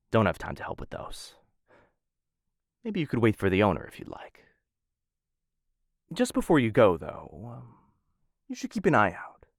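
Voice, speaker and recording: A man performing an ASMR roleplay as a suave, smitten assassin of a noble house, speaking in formal, courtly phrases with hesitant softer asides into a close mic. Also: lowish voice, gravelly, no room sound. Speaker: voice actor in a boyfriend roleplay audio. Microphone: close studio-style mic.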